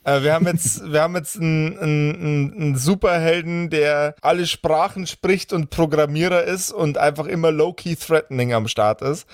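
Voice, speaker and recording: Man, game master, casual podcast talk, sardonic, sweary, higher-pitched, very dry recording. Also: normal speed, few pauses, no room sound. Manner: mock-exasperated, sweary, sardonic.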